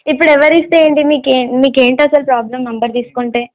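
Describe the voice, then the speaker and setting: A woman's voice: sits high, fairly even intonation, fast talker, a little mellow, dry recording. A woman prank caller chatting casually on the phone in a coy, insistent, teasing way. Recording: slightly dull, dry phone call audio.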